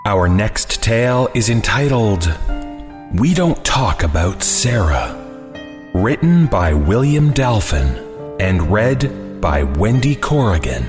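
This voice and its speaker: A man's voice: on the low side, big pitch swings, slow. A man acting as host announcer, giving theatrical scripted introductions to horror stories. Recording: long reverb, prominent music bed.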